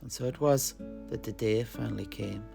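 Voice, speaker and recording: A man playing an alchemist storyteller, recounting fantasy legends of his homeland in a theatrical way with dramatic pauses. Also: lowish voice, creaky, music in the background. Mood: dramatic storytelling. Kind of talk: in-character fantasy tale narration.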